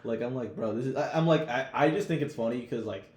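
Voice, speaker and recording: A man's voice: medium pitch, quick pace, darkish tone. Blunt young man in an echoey room. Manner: blunt, profane, slangy. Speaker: podcast co-host. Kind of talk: casual TV show discussion.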